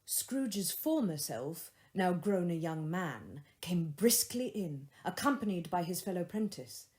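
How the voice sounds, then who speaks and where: A woman reading literary fiction as an audiobook narrator, with expressive scripted delivery. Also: lowish voice, unhurried.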